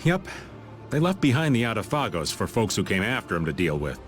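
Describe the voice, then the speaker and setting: An actor voicing a laid-back, wry middle-aged adventurer in a video game, drawling and folksy. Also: male voice, on the low side, big pitch swings, fairly quick, soft background music. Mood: uneasy. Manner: drawling, folksy, theatrically grumbling.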